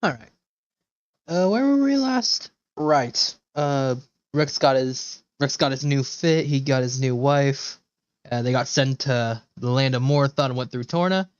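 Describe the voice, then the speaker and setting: A man rambling casually and low-key as he chats on a livestream. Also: a little slow, clear, resonant tone, no room sound, muffled recording. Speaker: live streamer.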